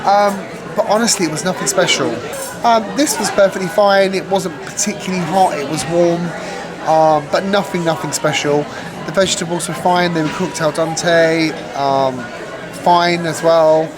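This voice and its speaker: A woman's voice: low voice, steady tone, moderate pace. A woman vlogging a casual food review, chatty and frank with a light joking touch. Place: busy restaurant. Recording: loud crowd chatter behind. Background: restaurant crowd chatter.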